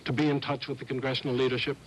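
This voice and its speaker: A man with a husky voice asking formal questions as a journalist on a political interview panel. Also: mid-range voice, little pitch movement, racing, rough recording. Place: television studio.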